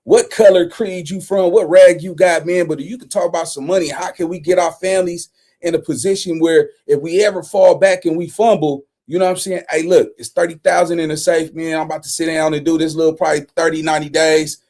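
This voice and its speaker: A man doing casual street-talk commentary as a streamer, rambling and slangy in an animated way. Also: a little high, brisk.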